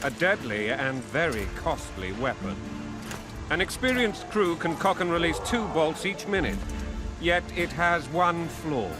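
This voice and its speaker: A man's voice: a little high, lively intonation, unhurried. An actor playing a proud, blunt, hot-tempered warrior king in a video game, speaking in a commanding, emphatic way. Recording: music under the voice.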